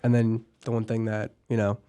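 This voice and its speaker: A young man hosting a casual podcast in a campus studio, a little hoarse from a cold and laughing often. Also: lowish voice, completely flat delivery, normal speed, dark tone. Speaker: podcast host.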